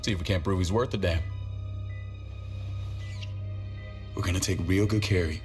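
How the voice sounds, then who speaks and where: A man playing a gruff, hardened community leader in a video game drama, talking in a blunt, terse, commanding way. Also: low-pitched, rapid-fire, a little mellow, soft background music, natural voice. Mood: firm, commanding.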